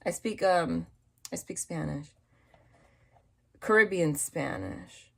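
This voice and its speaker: A woman with a New York accent reads tarot cards at a table and talks in a loose, rambling way, restarting often. Her voice sits low, with a creaky, gravelly texture. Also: sing-song, takes it slowly, a bit bright. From New York / New Jersey area. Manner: chatty, rambling, many restarts and asides. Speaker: tarot reader. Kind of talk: casual tarot reading chat. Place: indoors at a table, hands over cards.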